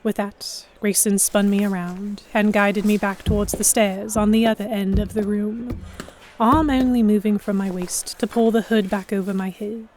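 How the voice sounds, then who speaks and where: A woman's voice: medium pitch, fairly even intonation, moderate pace, darkish tone, slight background noise. A woman reading scripted first-person narration for an audio drama.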